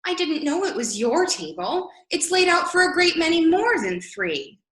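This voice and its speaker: A woman's voice: extremely high pitch, very animated, normal speed, fairly bright tone, heavily acted. A woman acting a prim, indignant, curious young girl in a readers theater sketch. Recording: roomy echo.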